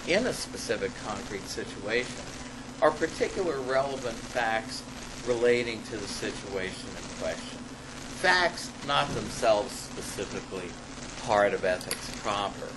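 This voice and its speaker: A man reading an academic paper aloud to a class as a lecturer, halting at times and stressing key phrases. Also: mid-range voice. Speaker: college ethics lecturer and paper author. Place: classroom in front of students. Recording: noisy amateur classroom recording.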